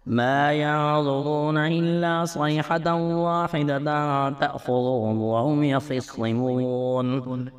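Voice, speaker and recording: A man reciting scripture in a melodic Quranic chant, measured, with drawn-out verse endings. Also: medium pitch, clear, resonant tone.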